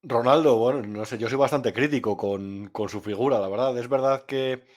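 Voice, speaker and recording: A man's voice: mid-range voice, moderate pace, a little mellow. A man from Spain, a journalist giving frank, opinionated answers in an interview, often hesitating and restarting. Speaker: journalist and novelist guest.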